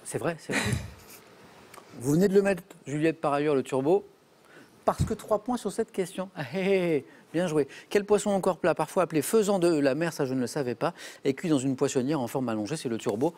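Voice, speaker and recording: A man's voice: on the high side, fairly quick. A man hosting a TV quiz show, reading questions and joking with contestants in a studio. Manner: lively, jokey, reads questions then banters with contestants.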